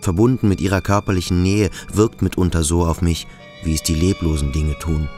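A male actor playing a brooding, introspective schoolboy who questions himself slowly and deliberately in a literary radio drama. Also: low-pitched, fairly even intonation, a little mellow, faint music behind, lightly acted. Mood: brooding, uneasy. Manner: slow, deliberate, self-questioning. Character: brooding, introspective schoolboy pondering his own strangeness.

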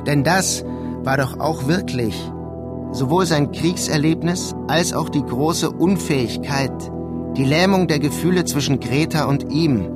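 An actor playing a brooding, detached narrator in a literary radio drama, speaking in a measured, sombre way. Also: male voice, a little high, natural voice. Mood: brooding, reflective. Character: brooding, detached man narrating a war-torn city. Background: music behind the voice.

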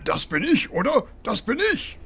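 A man's voice: high-pitched, sing-song, normal speed, vocal fry, sharp tone, slightly rough, exaggerated voice. A man playing a vain, prissy role-player in a comedy audio drama, theatrical and in love with his character. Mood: self-enamoured. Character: vain, prissy male gamer role-playing a glamorous elf.

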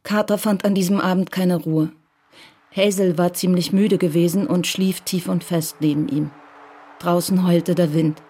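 A woman's voice: on the low side, fairly even intonation, normal speed, dark tone, slightly rough. A woman reading scripted literary narration for a German radio crime drama.

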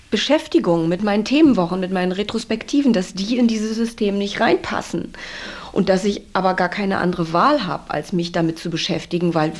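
A woman's voice: medium pitch, darkish tone. A woman talking candidly and reflectively about herself in an interview, feeling her way through her thoughts. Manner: candid, searching, self-examining. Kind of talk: reflective personal interview talk.

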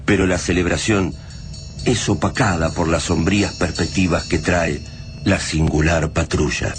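A man's voice: deep voice, fairly even intonation, unhurried, over music. A man with an Argentine accent giving solemn, scripted narration for a radio drama in a literary manner.

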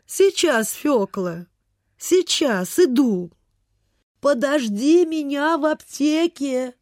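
A woman's voice: high voice, animated, slow pace, a little mellow, no echo, studio quality. A woman playing a kindly, forgetful, pious landowner lady in a radio play, with a fussy, sighing way of exclaiming. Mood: anxious, caring. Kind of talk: Soviet-style radio theatre acting.

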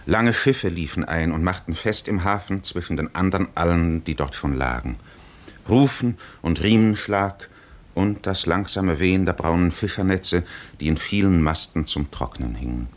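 An actor playing the solemn narrator of an ancient epic in a 1950s radio drama, with deliberate, poetic delivery. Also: male voice, low voice, animated.

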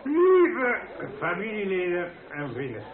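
An older, folksy father of the bride in an old radio drama. He speaks in a rather high voice, hesitant and self-deprecating, as he addresses guests. The sound is thin and narrow, with a crowd around him. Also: wildly swooping pitch, slow, exaggerated voice. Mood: jovial. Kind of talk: radio play acting, rambling wedding speech. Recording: tinny band-limited old radio play, echoey crowded hall.

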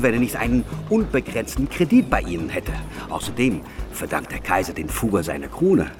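An actor playing a bold, cunning schemer in a historical German radio drama, talking with theatrical, persuasive confidence. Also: male voice, low-pitched.

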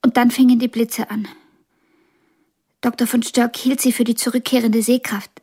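An actress in a radio drama playing a blind young pianist, wounded yet ambitious and frank, with a halting, intense delivery. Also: female voice, a little high, little pitch movement, mellow, breathy edge. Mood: pained recollection.

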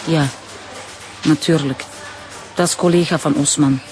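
A woman's voice: sits low, steady tone, measured pace, darkish tone, faint music behind. An actress playing a proud, middle-aged widowed Turkish shopkeeper and protective mother in a radio drama, emphatic and indignant. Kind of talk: naturalistic radio drama acting.

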